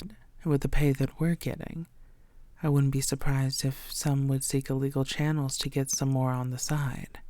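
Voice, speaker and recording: Woman playing a soft, mellow, low-voiced coy vampire. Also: no room sound. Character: coy, secretive female vampire posing as a tired blood bank worker. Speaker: audio roleplay performer. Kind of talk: intimate scripted audio roleplay addressed to the listener.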